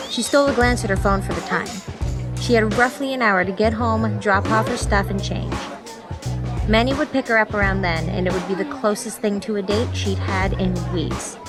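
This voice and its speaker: Lady doing expressive audiobook narration, clear music playing under her. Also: mid-range voice. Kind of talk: scripted story narration.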